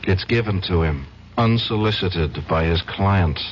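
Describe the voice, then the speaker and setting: An actor playing a troubled, indignant younger defense lawyer in an old-time radio drama, speaking in a halting, intense, agitated way. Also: male voice, sits low. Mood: bitter. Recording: dull, faintly noisy old radio recording.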